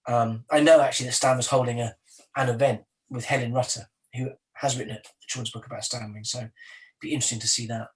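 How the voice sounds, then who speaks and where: A man's voice: mid-range voice, little pitch movement, fast, recorded from a distance, rough recording. Reflective man on a video call. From South Wales. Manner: thoughtful, with stammer repetitions and fillers. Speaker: poet, guest. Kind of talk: casual webinar conversation.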